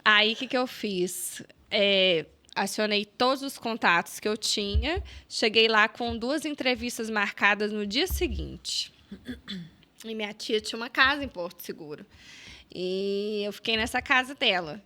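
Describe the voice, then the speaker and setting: Bright-voiced Brazilian woman telling stories. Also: medium pitch, measured pace. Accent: Brazilian Portuguese. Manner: animated, many fillers and quoted self-talk. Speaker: guest. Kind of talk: casual storytelling interview.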